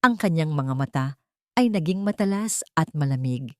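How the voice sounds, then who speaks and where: A woman's voice: deep voice, expressive, moderate pace, a little mellow, no room sound, studio quality. A woman reading a dramatic scripted love story as its narrator.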